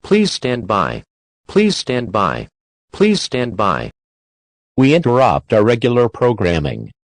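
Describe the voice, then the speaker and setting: A man's synthetic-sounding voice reading a formal emergency bulletin as an announcer, flat and impersonal. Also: medium pitch, slow, no room sound.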